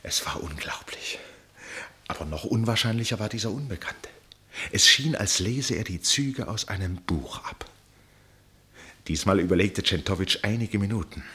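The storyteller of a radio play, a keen observer relating a tense contest. His voice is very low and husky, and he builds suspense with pauses and drops to a hush at the ends of phrases. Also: lively intonation, heavily acted. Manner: suspenseful, dramatic pauses and hushed phrase endings. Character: male storyteller, keen fascinated observer of a chess match. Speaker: narrator. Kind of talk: literary radio play narration.

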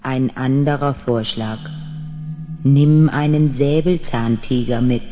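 An actor playing a calm, solemn planetary collective intelligence in a 1970s German science-fiction radio play, speaking in measured, commanding tones. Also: male voice, medium pitch, close to an everyday voice. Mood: solemn, instructing. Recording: vintage radio play, cavernous reverb, music.

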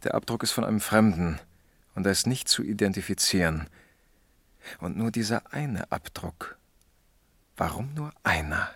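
Eager young detective, low voice. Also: male voice, expressive, normal speed, pauses often, fairly bright tone, breathy edge, no room sound, exaggerated voice. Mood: earnest, puzzled. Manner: keen, reporting findings, thinking aloud. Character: eager junior male police detective, earnest, speculative. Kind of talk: German crime radio play acting.

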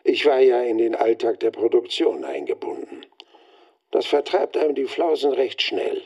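An actor playing a world-weary radio veteran looking back in a wry, grumbling monologue for a radio play. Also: male voice, mid-range voice, expressive, normal speed, a little mellow, husky.

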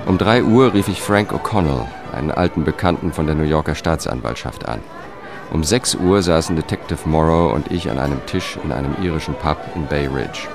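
A man's voice: extremely low pitch, a little fast. An actor playing a dry, dogged investigator who narrates his case soberly in a German crime radio drama. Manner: sober, factual, short sentences. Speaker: protagonist and first-person narrator. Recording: music behind the voice.

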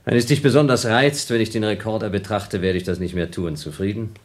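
A restless male astronaut in a radio drama, acted by a man, cynical and slyly persuasive. His voice sits fairly low, and he talks in a conversational way with a sardonic, needling edge. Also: fairly even intonation, a little fast, close to an everyday voice. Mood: restless, evasive.

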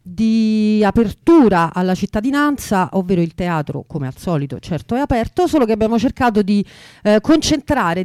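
A woman's voice: fairly high pitch, a little mellow, dry recording, clean studio sound. A woman hosting live radio, introducing an event in spontaneous talk. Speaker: radio host.

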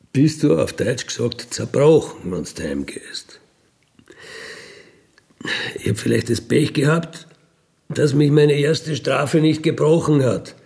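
A man's voice: mid-range voice, gravelly, dark tone. A man of fifty-one with an Austrian accent tells his own story in a colloquial, matter-of-fact way, often adding a questioning tag to his statements. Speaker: career criminal telling his life story. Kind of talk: unscripted personal storytelling.

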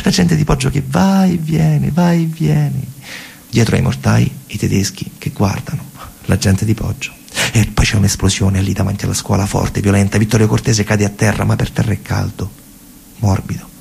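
A man with a central Italian accent from Lazio performing a dramatic theatre monologue as a storyteller, in a husky, gravelly voice, colloquial and repeating phrases for emphasis. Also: lowish voice, steady tone, normal speed, darkish tone, a little hiss or hum. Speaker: stage storyteller, actor.

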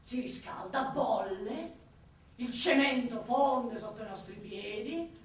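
An actress playing an impassioned woman torn between love and exasperation for her city, in a declamatory radio monologue with drawn-out, repeated words. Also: on the high side, animated. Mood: feverish, ominous. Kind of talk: dramatic theatrical radio monologue. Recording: roomy echo, distant from the mic.

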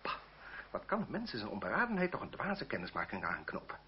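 An actor playing a fervent, lovestruck and haughty nobleman in a Dutch radio play, husky and theatrical. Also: lowish voice, huge pitch swings, quick pace, a little hiss or hum. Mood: resolute, disdainful. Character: impulsive, lovestruck aristocrat, arrogant towards his mistress. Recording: muffled old radio recording.

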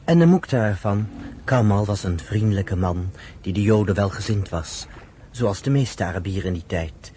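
A man's voice: lowish voice, expressive, normal speed, dark tone, a little hiss or hum. A man narrating a radio drama in a scripted storytelling style.

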